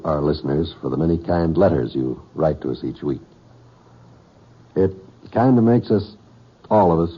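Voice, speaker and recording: A man's voice: low-pitched, husky. An American man announcing a 1950s radio drama and addressing listeners as its presenter. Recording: muffled old radio recording.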